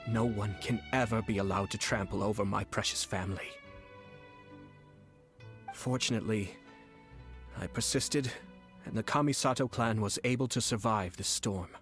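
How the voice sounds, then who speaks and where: A man's voice: fairly low pitch, music in the background, close to an everyday voice. A man playing a cool, composed noble commissioner. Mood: solemn. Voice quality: smooth, measured, courteous. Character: composed noble male commissioner, calm and quietly menacing. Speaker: clan commissioner. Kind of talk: game voice acting.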